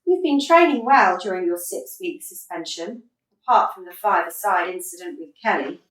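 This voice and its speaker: Woman acting female football manager, earnest, exasperated, dryly sarcastic, lively intonation, radio play, distant from microphone. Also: fairly low pitch, normal speed. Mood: dry, reproving. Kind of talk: radio comedy drama acting. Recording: roomy echo, distant from mic.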